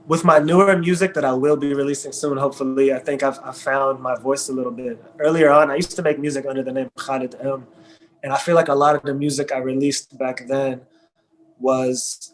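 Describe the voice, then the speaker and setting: A man's voice: medium pitch, low-quality recording. A young man, a hip hop artist, speaking candidly and casually with some slang on a video call panel. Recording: faint background noise.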